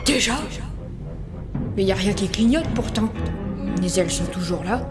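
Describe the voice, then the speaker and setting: A woman's voice: medium pitch, normal speed, close to an everyday voice. Woman playing a naive, meek pilot over music. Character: naive, meek, self-doubting airline pilot. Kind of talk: comedy film parody dub.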